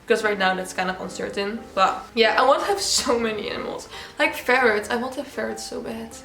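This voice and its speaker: A woman's voice: on the high side, fairly quick, vocal fry, a bit bright, roomy sound, faint music behind. A young woman from the Netherlands with a Dutch accent, chatting casually and giggling as she answers viewer questions. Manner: chatty, giggly, many "like" fillers. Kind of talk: casual Q&A vlog.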